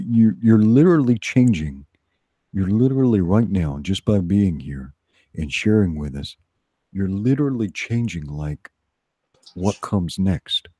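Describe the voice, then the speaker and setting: A man's voice: on the low side, warm tone, slightly rough, no echo, studio quality. A man co-hosting a casual livestream, talking emphatically and repeating phrases for weight. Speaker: co-host. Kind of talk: casual livestream pep talk.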